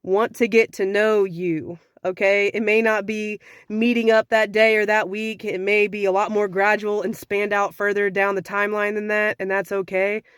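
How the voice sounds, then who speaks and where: A young woman with a slightly raspy voice from sinus trouble, giving frank dating advice in a casual talk to camera. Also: mid-range voice, little pitch movement, normal speed, few pauses, no echo, studio quality. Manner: frank, conversational, sassy.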